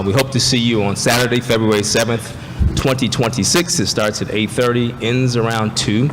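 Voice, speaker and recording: District guy making upbeat announcements, lots of uhs, echoey noisy school hall. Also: medium pitch. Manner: upbeat, announcing with frequent fillers. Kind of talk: meeting announcements. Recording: noisy, reverberant meeting hall.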